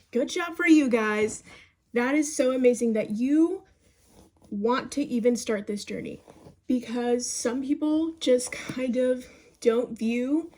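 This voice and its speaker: A woman's voice: a little high, a little mellow. A young woman around twenty giving chatty, encouraging advice to her phone camera, calling her viewers "babes". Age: young adult. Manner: chatty and encouraging, says "babes" and "you guys".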